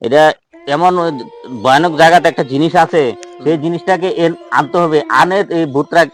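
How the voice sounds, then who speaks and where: A man's voice: a little high, normal speed, soft background music, lightly acted. A man playing an earnest, reassuring villager in an improvised comedy skit, repeating himself and constantly checking for agreement. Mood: instructing. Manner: repetitive, constantly seeking agreement. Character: earnest villager guide organizing a jinn hunt, reassuring.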